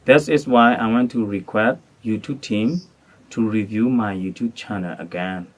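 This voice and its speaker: A man's voice: on the low side, normal speed. An English-speaking man from Thailand, making a formal, careful prepared appeal as a channel creator. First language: not English. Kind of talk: prepared spoken appeal to camera.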